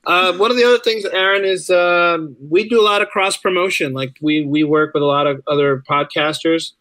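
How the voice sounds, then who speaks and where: A man with a slightly high voice talks as a guest in a casual interview. He is talkative and informal, circling back to repeat phrases for emphasis. The recording is dry, with very little room sound. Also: brisk, sharp tone, dry recording, poor audio. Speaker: podcast guest, writer and musician.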